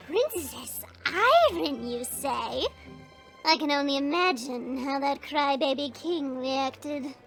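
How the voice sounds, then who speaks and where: A woman playing a sly, theatrical goblin witch in a fantasy audio roleplay, with coy, drawn-out delivery. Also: high voice, expressive, fairly bright tone, faint music behind, full character voice. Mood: coy, intrigued. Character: sly, theatrical female goblin witch, weepy when slighted.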